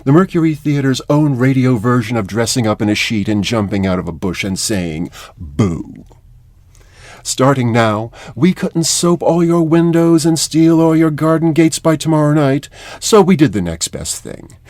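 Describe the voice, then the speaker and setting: A man plays a grandiose old-time radio showman addressing his listeners. He is wry and theatrical, with swooping emphasis and a middling pitch. The sound is close and dry. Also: lively intonation, moderate pace, darkish tone, dry recording, close to an everyday voice. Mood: wry, playful. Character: theatrical male 1930s radio host, playfully grandiose showman.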